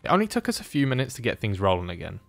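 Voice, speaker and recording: A man with an average-pitched voice streams a game over clearly audible music. He talks casually to his teammate, excitable and quick to exclaim, with plenty of swearing. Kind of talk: casual gaming commentary with a teammate.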